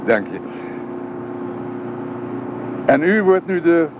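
A man's voice: on the high side, sing-song, takes it slowly. An actor playing a friendly, reassuring middle-aged man chatting in a Dutch radio play. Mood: friendly, curious. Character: friendly, reassuring older acquaintance giving a lift. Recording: tinny, noisy old radio recording, vehicle noise.

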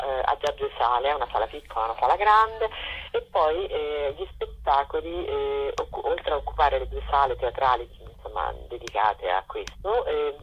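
A woman's voice: sits low, breathy edge. A woman speaking as a festival director in an informal radio interview, hesitant and searching for words. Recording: dull lo-fi telephone line.

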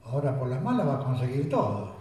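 A man voicing a boastful rustic sergeant in a comic radio play, telling tall tales in a deadpan, mock-solemn way. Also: medium pitch, fast talker, natural voice. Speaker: radio drama actor. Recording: very echoey room, voice far from mic.

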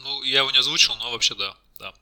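A man's voice: mid-range voice, very fast, pushed and bright. A man being interviewed as a job candidate, talking casually about technical matters in a self-deprecating way.

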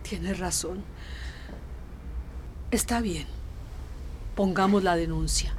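A woman's voice: on the low side, slow. An actress playing an anguished, hesitant middle-aged mother in a radio drama. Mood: resigned.

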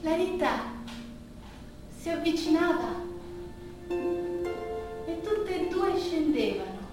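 A woman's voice: very high-pitched, big pitch swings, slow. A woman performing a dramatic theatrical monologue, telling a story in short, suspended phrases. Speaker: stage actress, monologue performer. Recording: very echoey, distant stage recording with music. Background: music.

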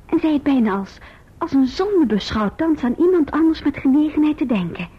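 An actress playing a gentle, sensible young woman in a radio drama, soothing and measured. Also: high voice, very animated. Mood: gentle, reassuring. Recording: dull old radio recording.

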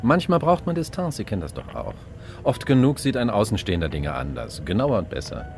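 An actor playing a smug, calculating official, condescending and wry, in a radio crime drama. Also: male voice, on the low side, big pitch swings, a little fast, darkish tone, a little hiss or hum. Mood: smug, placating. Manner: smooth-talking, condescending, wry. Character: smug, calculating male official, cool and ambitious.

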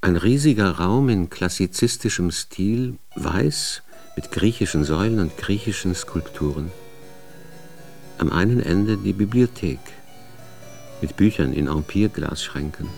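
A man acting as the narrator of a radio drama, setting historical scenes in a measured, factual manner. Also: low voice, lively intonation, gravelly, darkish tone, soft background music, exaggerated voice. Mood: factual, descriptive.

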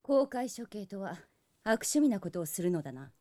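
A woman's voice: mid-range voice, big pitch swings, moderate pace, mellow, no echo, lightly acted. A woman playing a stern, proud warrior princess in a fantasy audio drama, speaking coolly and with command. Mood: cool, disdainful. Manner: cool, commanding, masculine phrasing.

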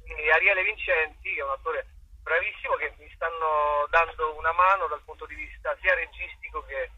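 A man's voice: on the high side, fairly even intonation, normal speed, slightly rough, no room sound, phone-quality sound. A man answering interview questions conversationally as an actor and playwright, hesitant and searching for words, often repeating himself.

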